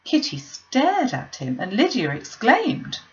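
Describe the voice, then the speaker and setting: A woman reading a classic novel aloud, with an expressive, animated delivery. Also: on the high side, slow, muffled recording. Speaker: audiobook reader.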